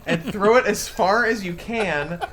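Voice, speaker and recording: A woman's voice: medium pitch, unhurried, background noise. A woman playing a tabletop game, talking through plans casually and off the cuff. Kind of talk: casual improvised game chat.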